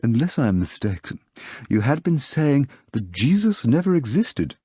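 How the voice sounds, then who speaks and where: A man voicing a mysterious foreign gentleman with a foreign accent, polite and furtive. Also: mid-range voice, very animated, normal speed, breathy edge, no echo, clean studio sound, pitched above the performer's own voice. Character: mysterious foreign gentleman, sly and deep-voiced.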